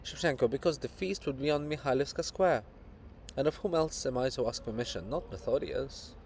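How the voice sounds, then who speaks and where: A man's voice: mid-range voice, brisk, a little mellow, a little hiss or hum, natural voice, rough recording. A man voicing a sly, grandiloquent poet monument, teasing and persuasive, with emphatic theatrical delivery in a dramatic reading.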